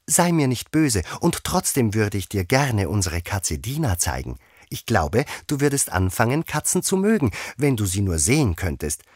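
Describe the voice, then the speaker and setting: A man's voice: mid-range voice, big pitch swings, unhurried, slightly rough, no echo, studio quality, pitched above the performer's own voice. A man voicing an eager, polite young girl in a children's fairy tale, earnest and gushing. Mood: eager, apologetic.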